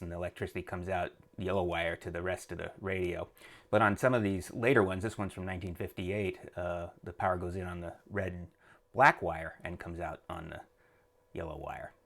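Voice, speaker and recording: Low-voiced man musing through a repair. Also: steady tone, fairly bright tone. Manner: halting, musing aloud while working. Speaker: host of a repair tutorial channel. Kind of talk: casual hands-on how-to commentary.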